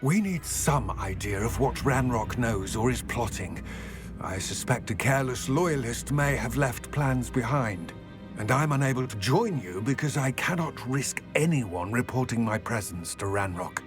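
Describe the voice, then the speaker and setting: A man playing a grave, weary goblin in a video game, speaking in a formal, measured, wordy way about serious matters. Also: mid-range voice, lively intonation, over music, close to an everyday voice. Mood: cautious, serious. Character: grave, weary male goblin, wary of wizards. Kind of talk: video game cutscene acting.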